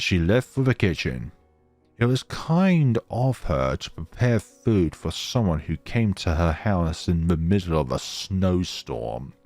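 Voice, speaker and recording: A man's voice: low-pitched, darkish tone, no room sound. A man narrating a visual novel as a let's player, reading expressively and slipping into casual commentary.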